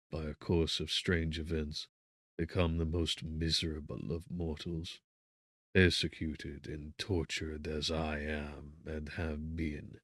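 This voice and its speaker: Wretched male prisoner acted by a narrator, melancholy and drained, very low voice, dry close sound. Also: dry recording, heavily acted. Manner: feeble, weary, melancholy. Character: wretched, feverish young man accused of murder, despairing.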